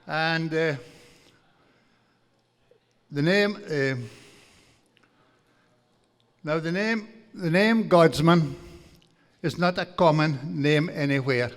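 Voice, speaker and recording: A man's voice: a little high, very slow, long pauses. An older man from Aberdeenshire with a broad Doric Scottish accent, giving a jovial after-dinner lecture at a stand mic in a large hall. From Aberdeenshire, Scotland. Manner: jovial, folksy, reading from notes. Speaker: guest speaker. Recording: long hall reverb.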